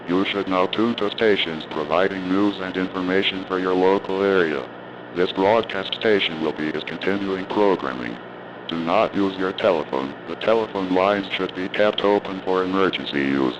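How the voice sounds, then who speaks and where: A man playing a grave emergency broadcast announcer, reading a formal script in a solemn, measured, official manner. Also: sits low, lightly acted. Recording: dull lo-fi broadcast with clear noise.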